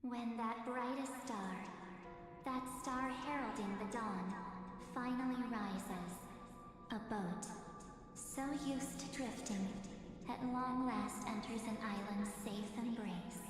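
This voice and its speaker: An actress playing a serene female guide spirit in a video game, speaking slowly and poetically with great solemnity. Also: fairly high pitch, heavy reverb, long reverb tail, over music. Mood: solemn, gentle. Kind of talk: scripted video game narration.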